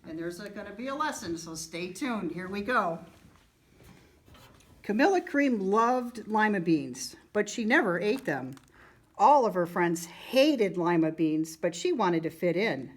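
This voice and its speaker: A woman reading a children's storybook aloud in an expressive storytelling style. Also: mid-range voice, measured pace. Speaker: storybook reader.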